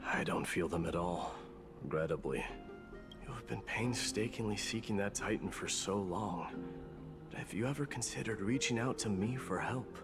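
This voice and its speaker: A man playing a stoic, proud warrior prince in a video game, speaking in a grave, measured, formal way. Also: lowish voice, expressive. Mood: solemn. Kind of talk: dramatic video game voice acting. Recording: game dialogue with music behind it.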